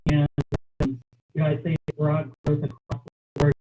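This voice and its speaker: A male executive answering questions on an earnings call with halting, broken phrasing. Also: a little high, monotone, loud music behind the voice, rough recording. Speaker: company executive.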